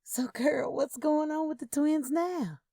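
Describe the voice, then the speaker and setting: A woman's voice: sits high, slow, mellow, no echo, studio quality, pitched above the performer's own voice. A woman voicing a gossipy young Southern mother, drawling and conspiratorial, in a story. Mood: conspiratorial, teasing.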